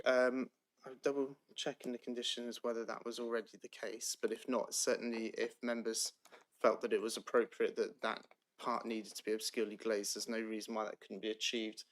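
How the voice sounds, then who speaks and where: A man, a planning officer giving measured, procedural answers at a council meeting with frequent ums. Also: medium pitch.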